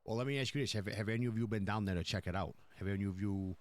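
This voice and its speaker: Man playing a low-voiced, blunt mafia boss. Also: very fast, natural voice. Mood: blunt, decisive. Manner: blunt, profane, repetitive, giving orders. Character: blunt, commanding male mafia boss in New York. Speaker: roleplay player. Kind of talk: improvised in-character crime roleplay.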